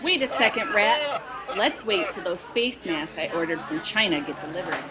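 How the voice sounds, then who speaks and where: A woman's voice: mid-range voice, normal speed, over a noisy crowd, very noisy, close to an everyday voice. A woman playing a pragmatic, cynical meatpacking boss in a satirical radio play, dry and mock-earnest. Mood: wary.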